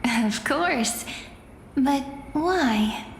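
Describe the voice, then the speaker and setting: A woman voicing a serene, gently teasing young female fortune teller in a game, musing and enigmatic. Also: high-pitched, expressive, drawn-out words, slight background noise, heavily acted. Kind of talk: dramatic game acting. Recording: echoey hall reverb, music behind.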